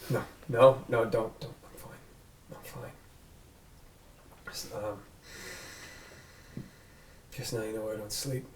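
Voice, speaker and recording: A man's voice: fairly low pitch, steady tone, slow pace, pauses often, reverberant. Guy doing a blunt sweary detective, guarded, sounds a bit far from the mic w/ faint noise. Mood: shaken, defensive. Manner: blunt, casual, clipped swearing. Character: gruff, guarded, sweary human police detective. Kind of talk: table read of a drama script.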